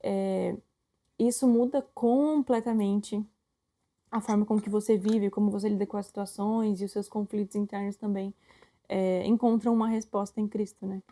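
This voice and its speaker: A woman's voice: medium pitch, fairly even intonation, moderate pace, mellow. A young woman with a Brazilian Portuguese accent, co-hosting a casual couple conversation and telling stories eagerly, often finishing her partner's sentences. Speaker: podcast co-host.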